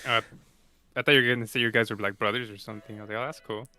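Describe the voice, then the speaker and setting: A man's voice: on the low side, fairly even intonation, very fast, sharp tone, dry recording, soft background music. A young man co-hosting a casual tech podcast, easygoing and explaining things plainly with fillers. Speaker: co-host.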